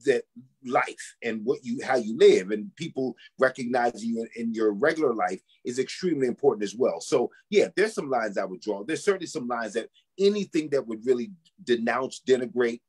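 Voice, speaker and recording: A man with a husky, mid-pitched voice talks in a reflective, conversational way. He chooses his words carefully and sounds earnest and measured. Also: lively intonation.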